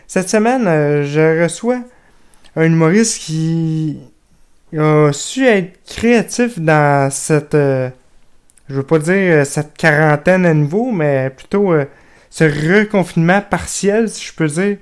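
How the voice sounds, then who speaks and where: Smooth-voiced Québécois podcast host. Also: male voice, on the high side. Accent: Québécois French. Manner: chatty, drawn-out hesitations. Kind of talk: casual podcast interview.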